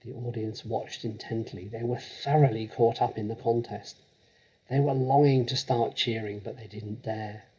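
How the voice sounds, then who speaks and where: A man narrating a children's story expressively, with dramatic, measured delivery. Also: fairly low pitch, steady tone, darkish tone, slightly rough, poor audio. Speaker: storybook reader.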